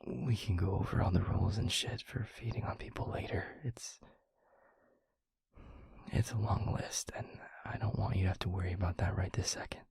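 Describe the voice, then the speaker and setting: Man playing a hushed, husky, gentle vampire boyfriend. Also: low voice, exaggerated voice. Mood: concerned, tender. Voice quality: breathy, husky, half-whispered. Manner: soft, hesitant, reassuring, with long pauses. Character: gentle, protective young male vampire maker and boyfriend. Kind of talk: intimate ASMR boyfriend roleplay audio.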